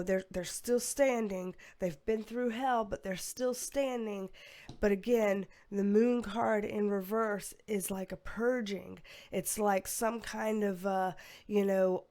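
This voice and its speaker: A young woman reading tarot cards to camera in a casual, rambling way, her voice going hoarse. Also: mid-range voice, a little mellow. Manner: rambling, filler-heavy, with sighs. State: losing her voice, a bit hoarse. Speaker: tarot reader.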